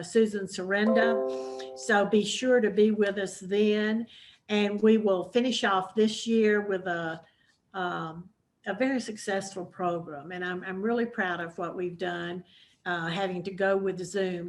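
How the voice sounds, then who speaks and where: A woman's voice: mid-range voice, steady tone, measured pace, faint music behind. Southern woman host, chatty moderator of a book talk, lots of fillers. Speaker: moderator of a women's book program. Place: hybrid in-person and Zoom event.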